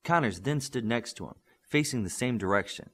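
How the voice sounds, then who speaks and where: A man's voice: medium pitch, animated, brisk, breathy edge. A man narrating fiction as a scripted audiobook reader.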